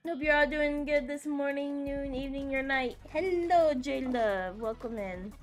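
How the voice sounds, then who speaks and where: A woman host chatting casually on a game stream, her higher voice unhurried and rambling, padded with filler words. Faint music plays underneath. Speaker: livestream host. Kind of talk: casual chat while gaming.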